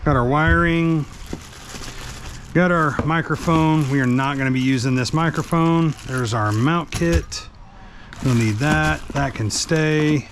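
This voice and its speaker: A man's voice: fairly high pitch, slow. Casual man talking through a hands-on job, faint noise. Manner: informal, talking through the task as he works. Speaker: vlogger, truck driver. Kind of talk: casual hands-on how-to narration. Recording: background noise while working.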